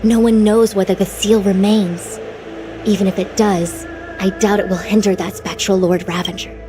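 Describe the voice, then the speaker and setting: A woman's voice: medium pitch, fairly even intonation, normal speed, close to an everyday voice. An actress playing a stern, resolute female military official in a video game, with formal, authoritative delivery. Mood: stern, urgent. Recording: game music behind the voice.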